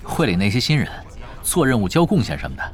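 A man's voice: mid-range voice, huge pitch swings, fast. An actor playing a laid-back, teasing young male gamer in a Chinese audio drama, with a casual, drawling delivery. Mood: casual, musing.

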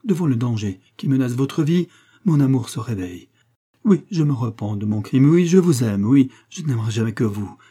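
A man's voice: mid-range voice, moderate pace, mellow, breathy edge. A man playing a gullible, impassioned nobleman who exclaims and pleads fervently in a comic audiobook. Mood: pleading, fervent. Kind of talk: comic literary audiobook dialogue.

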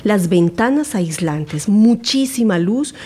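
Woman acting as letting agent, audio drama, medium pitch, breezy, boastful, salesy patter. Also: animated, slow pace, warm tone, slightly rough. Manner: sales patter, piling up selling points.

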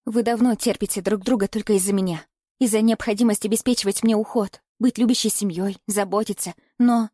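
A woman's voice: medium pitch, steady tone, quick pace, dark tone, no echo, studio quality, natural voice. A woman narrator voicing an earnest young adult daughter, pleading and soothing yet resolute. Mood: pained resolve.

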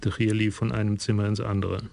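Flat, gravelly male radio narrator. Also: on the low side, fast talker, slight background noise. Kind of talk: scripted radio drama narration.

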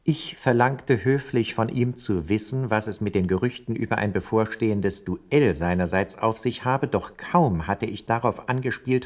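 A man's voice: lowish voice, darkish tone, close to an everyday voice. An actor playing a servile informant who narrates his formal report in ornate for a radio play.

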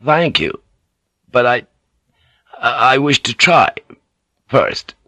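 A man voicing an exhausted English sailor turned samurai, speaking slowly and wearily. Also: mid-range voice, very animated, bright, forceful tone, no echo, pitched above the performer's own voice, exaggerated voice. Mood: weary, polite. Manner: slow and weary.

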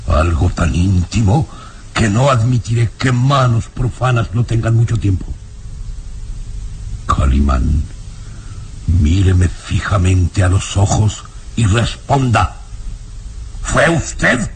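Aged tyrannical baron, radio serial. Slow, lowish delivery, formal, emphatic, threatening. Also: male voice, lively intonation, a little hiss or hum. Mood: grave, accusing. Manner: menacing, indignant, formal and emphatic. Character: irascible, imperious old baron, nervous castle lord. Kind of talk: vintage Spanish-language radio serial acting.

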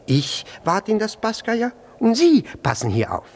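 A man's voice: high-pitched, huge pitch swings, moderate pace, full character voice. A male actor in a German radio play, playing a flustered, jealous, nervously polite middle-aged husband who stammers and repeats himself. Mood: nervous, embarrassed. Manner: stammering, hesitant, repeats words, fussily polite.

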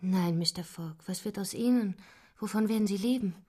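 Radio play: woman as a gentle young lady, very breathy whispery voice, mellow, faint music. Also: medium pitch, normal speed, natural voice. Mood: tender.

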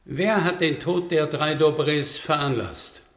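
A man's voice: a little high, unhurried, a bit bright. An actor playing a stern presiding judge in a radio drama, formal and declamatory in his delivery. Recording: reverberant hall.

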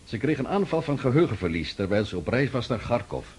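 An actor playing a calm, reassuring doctor who chats gently with his patient in a radio drama. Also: medium pitch, fast, husky. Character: calm male doctor, soothing and anecdotal.

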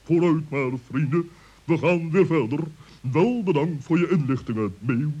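A wise, polite owl played by a man in a children's radio play. The voice is fairly high for a male and moves expressively up and down. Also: expressive, unhurried, dark tone, lo-fi sound, slight background noise. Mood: brisk, polite.

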